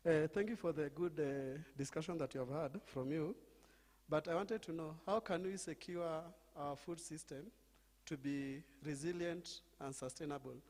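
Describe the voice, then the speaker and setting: A man's voice: on the high side, moderate pace, a little mellow. Man asking a question in an echoing hall. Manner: hesitant, with many fillers. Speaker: audience member asking a question. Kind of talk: question from the floor. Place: conference hall. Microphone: handheld mic. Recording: reverberant hall.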